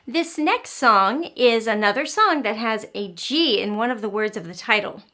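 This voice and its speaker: High, bright-voiced woman hosting children's storytime. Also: normal speed. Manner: animated, encouraging, simple explanations with questions to the child. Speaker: children's read-aloud host and teacher. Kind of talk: children's storytime, speaking directly to young listeners.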